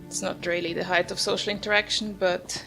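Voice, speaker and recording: Creaky-voiced woman doing gameplay commentary. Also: on the low side, little pitch movement, fairly quick, rough recording. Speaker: gamer, host of the video series. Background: soft game music.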